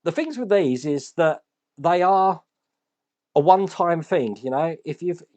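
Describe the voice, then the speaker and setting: A man hosting a model-building channel, his voice hoarse and deeper than usual from shouting, chatting casually and thinking aloud as he works with his hands. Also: unhurried. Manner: chatty, self-deprecating, thinks aloud while working.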